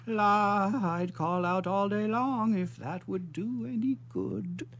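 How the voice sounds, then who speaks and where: A woman's voice: medium pitch, slow pace. A woman playing a nervous, superstitious male servant in a radio comedy drama, with a fretful, exaggerated theatrical manner. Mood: comic dismay. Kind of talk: comic theatrical radio acting.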